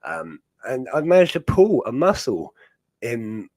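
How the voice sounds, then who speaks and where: A man's voice: mid-range voice, very animated, a little slow, a little mellow. A young British man hosting a livestream, chatting in a loose, rambling way with frequent laughs. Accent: British English. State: chest infection. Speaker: host. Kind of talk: casual livestream chat.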